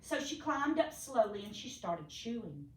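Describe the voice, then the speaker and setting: A woman reading a children's storybook aloud expressively, with theatrical, deliberate emphasis for young listeners. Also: on the high side, very animated, a bit bright. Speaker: storybook reader. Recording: echoey room, distant mic, faint noise.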